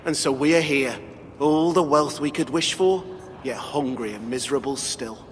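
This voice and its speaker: An actor playing a weary, bitter, downtrodden dwarf refugee in a video game, speaking in a sombre, plaintive manner. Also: male voice, medium pitch, moderate pace, close to an everyday voice. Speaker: dwarf character. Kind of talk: game cutscene acting. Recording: long hall reverb, faint background noise.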